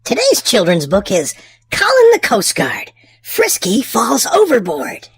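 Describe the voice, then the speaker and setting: Female read-aloud host for young children, high voice, expressive and drawn-out delivery, dry close sound. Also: slightly rough, no echo. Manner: theatrical, drawn-out emphasis for small children. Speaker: children's storybook reader and channel host.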